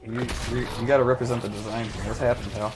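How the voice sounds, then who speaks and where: A man's voice: mid-range voice, steady tone, rapid-fire. A man hosting and giving casual live commentary on a fighting game stream. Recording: clear background noise.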